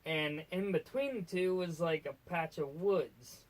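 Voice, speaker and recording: A man's voice: on the high side, measured pace, poor audio. An American man in his mid twenties, co-hosting in a casual, conversational way. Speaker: co-host. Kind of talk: casual chat. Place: basement room.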